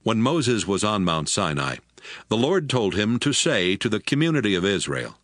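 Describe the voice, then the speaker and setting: A man's voice: fairly low pitch, very animated, normal speed, a bit bright, no room sound, clean studio sound. A man narrating scripture from a script as the storyteller of an audio Bible. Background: music.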